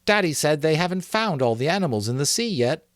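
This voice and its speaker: A man voicing an excitable young boy in a read-aloud story, rambling and correcting himself as he goes. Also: a little high, unhurried, no room sound, clean studio sound, voice pushed up, full character voice. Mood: eager. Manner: rambling, hesitant, self-correcting.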